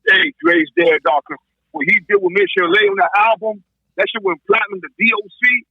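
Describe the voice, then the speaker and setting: A man in a casual podcast debate, excitable and slangy, heard over a lo-fi call. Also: very high voice, brisk, creaky, husky, dry recording. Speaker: podcast guest. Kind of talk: casual hip-hop debate. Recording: lo-fi phone-like call.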